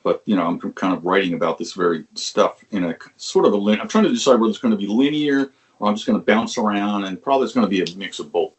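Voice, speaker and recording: A man's voice: on the low side, expressive, fast talker, hardly any pauses. An older man from Long Island, a musician telling stories from his youth in a rambling, casual way. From Long Island, New York. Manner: rambling, frequent restarts, swears casually, chuckles. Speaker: musician guest. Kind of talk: conversational interview storytelling.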